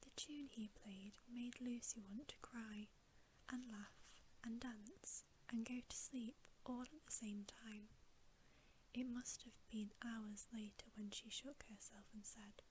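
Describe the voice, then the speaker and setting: Woman, breathy ASMR whisper, slow pace, slightly high pitch, bedtime storytelling, close mic. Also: steady tone, slight background noise, poor audio. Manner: soft, unhurried, breathy whispering. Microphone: close microphone.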